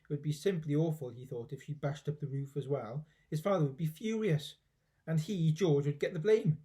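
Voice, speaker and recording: A man reading a children's story aloud in an expressive storybook narration style. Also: a little high, moderate pace.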